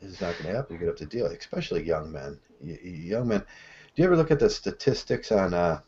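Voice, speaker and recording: A man hosting a casual online discussion, with a soft voice that has a gravelly undertone and a relaxed, blunt, rambling way of talking. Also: deep voice, quick pace. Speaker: host. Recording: dull lo-fi call audio.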